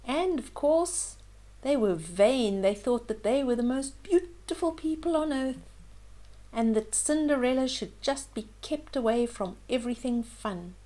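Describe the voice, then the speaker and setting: An older woman reading a fairytale aloud to children in an expressive way, with simple explaining asides to young listeners. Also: on the high side, unhurried. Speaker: children's storyteller, host.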